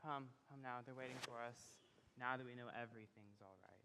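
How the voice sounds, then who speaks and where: An actor playing a gentle father of the bride who speaks in a soothing, steady, fatherly way. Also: mid-range voice, quick pace, close to an everyday voice. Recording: reverberant old film soundtrack with faint hiss.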